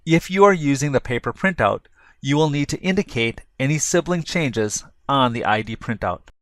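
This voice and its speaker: A man delivers scripted instructional narration at a mid-range pitch. He sets out each point in a measured, orderly way, as for a training video. The sound is dry, with very little room around the voice. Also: lively intonation, fairly bright tone, no echo.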